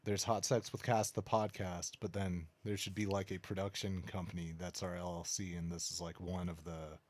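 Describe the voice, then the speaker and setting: A man's voice: deep voice, little pitch movement, normal speed, a little mellow. A man co-hosting a casual podcast, laid-back and joking, with a stuffy, congested nose. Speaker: podcast co-host.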